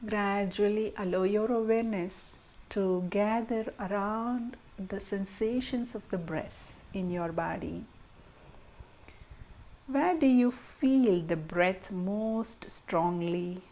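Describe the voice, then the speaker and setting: Very slow, mellow woman guiding meditation. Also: medium pitch, rough recording. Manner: gentle, soothing, measured phrases with pauses. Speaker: meditation guide. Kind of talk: guided meditation.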